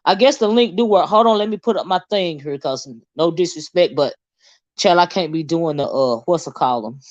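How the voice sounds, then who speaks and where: A woman hosting a casual livestream with sassy, animated, teasing talk and frequent laughter. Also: fairly low pitch, a little fast, dry recording, muffled recording. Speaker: channel host.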